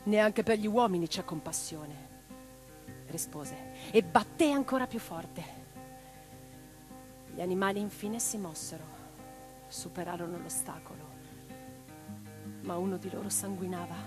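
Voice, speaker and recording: A woman's voice: fairly low pitch, a little slow, pauses often, a little mellow, natural voice. An actress as a lyrical, impassioned revolutionary woman over guitar. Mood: tender, sorrowful. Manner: lyrical, earnest, declamatory. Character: Rosa Luxemburg, impassioned, tender revolutionary woman writer. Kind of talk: theatrical radio drama monologue and dialogue. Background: plucked guitar music.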